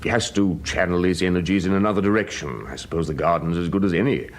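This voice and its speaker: An actor in a radio drama playing an avuncular British family friend, breezily reassuring and given to thoughtful hums. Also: male voice, low voice, quick pace, natural voice. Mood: genial, confiding.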